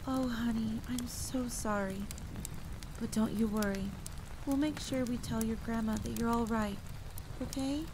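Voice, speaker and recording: A woman playing a kind, motherly field medic who comforts a child gently and reassuringly in an audio drama. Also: a little high, measured pace. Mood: tender, consoling. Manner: gentle, reassuring, sweetly encouraging. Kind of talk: acted audio drama storytelling. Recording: steady rain noise behind the voice.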